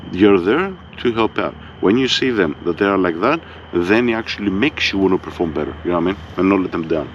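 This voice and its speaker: A man with a Greek accent talking in a laid-back, fairly monotone way, swearing freely and often tagging on a filler question to check he is understood. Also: deep voice, brisk, muffled recording, slight background noise. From Greece. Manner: laid-back, swears freely, keeps saying "you know what I mean". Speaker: vlogger. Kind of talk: casual monologue.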